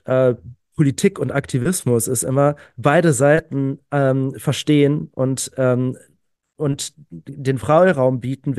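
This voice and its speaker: A man's voice: mid-range voice, darkish tone, no echo, studio quality. A man in his early thirties, an activist guest on a podcast, talking earnestly and with enthusiasm, with many fillers and repeated words. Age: 30s. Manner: earnest, enthusiastic, frequent "ähm" fillers and repetitions. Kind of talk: casual conversational interview.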